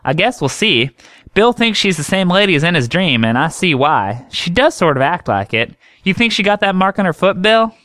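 A man's voice: sits high, sing-song, normal speed, no echo, voice pushed up. A man putting on a folksy, kindly woman doctor. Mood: gentle. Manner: homespun, gentle.

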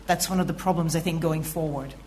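A woman journalist from Italy speaking on a conference panel, measured and personal, sometimes trailing off. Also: low voice, fairly even intonation, darkish tone, a little hiss or hum.

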